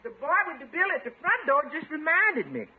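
A woman's voice: sits high, huge pitch swings, fairly quick. A woman playing a deferential, obliging, good-natured housekeeper in a 1950s radio comedy. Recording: tinny 1950s radio recording.

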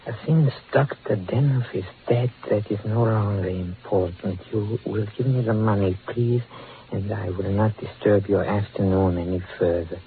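A man playing an oily blackmailer, soft-spoken and fawning with polite menace, in 1940s radio style. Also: fairly low pitch, fairly even intonation, normal speed, natural voice. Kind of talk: 1940s radio villain acting. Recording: tinny, hissy old radio recording.

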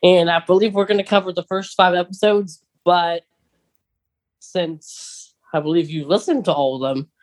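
A man's voice: high-pitched, steady tone, normal speed. A man co-hosting a podcast, talking casually and eagerly as a big fan, with plenty of ums. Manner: enthusiastic fan, talkative, many fillers. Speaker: podcast co-host.

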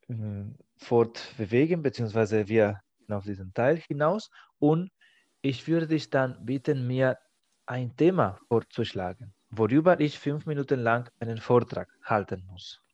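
A man hosting a language-learning podcast, speaking as a learner. He works through a mock exam talk, halting and searching for words. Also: mid-range voice, a little mellow, muffled recording. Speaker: podcast host.

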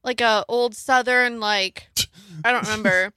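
A woman's voice: a little high, steady tone, unhurried, bright, forceful tone, clean tone, no room sound. A tipsy woman in her twenties chatting and joking on a podcast, giggling often. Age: 20s. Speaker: podcast guest. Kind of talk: casual podcast chat.

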